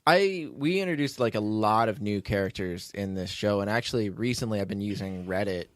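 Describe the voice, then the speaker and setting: A man's voice: fairly low pitch, expressive, fairly quick, no echo, studio quality. A man hosting a casual behind-the-scenes podcast chat in a rambling, joking, self-deprecating way. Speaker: podcast host, writer and producer of an audio drama.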